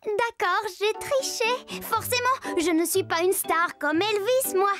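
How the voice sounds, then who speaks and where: A performer voicing an excitable, boastful little girl who chats to the viewer as she tells a cartoon story, over loud music. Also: very high voice, measured pace, exaggerated voice. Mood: confiding. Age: child character. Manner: playful, chatty, addresses the viewer directly. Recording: loud children's music under voice.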